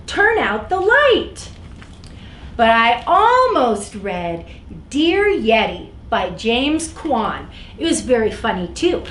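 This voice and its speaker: A woman with a high, very lively voice hosts story time for children. She tells the tale expressively and at an unhurried pace, addressing her young listeners directly. The room is echoey, with faint noise behind her. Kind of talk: animated read-aloud storytelling for children.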